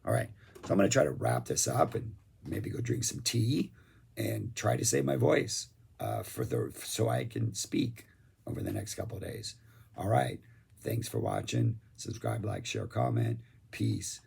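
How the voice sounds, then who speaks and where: Hoarse gravelly man reviewing music. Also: extremely low pitch, huge pitch swings, unhurried, darkish tone. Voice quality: gravelly, raspy. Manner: rambling, enthusiastic, lots of fillers. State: losing his voice, hoarse. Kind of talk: casual review monologue.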